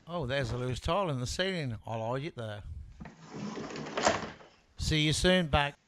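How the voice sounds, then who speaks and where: A man's voice: medium pitch, animated, measured pace, natural voice. A man playing a naive, chatty and cheeky sidekick with an earnest, questioning manner in an amateur audio comedy. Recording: noisy amateur recording with clicks.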